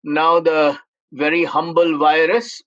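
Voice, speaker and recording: A man's voice: a little high, flat delivery, takes it slowly, a bit bright, muffled recording. A man moderating an online panel discussion over a video call, speaking emphatically and repeating his points.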